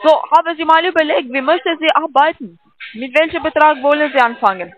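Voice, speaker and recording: A woman with a foreign accent, a non-native speaker, making a halting sales call by phone. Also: high voice, fairly bright tone. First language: not German. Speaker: call centre trading scam caller. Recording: phone-like telephone line.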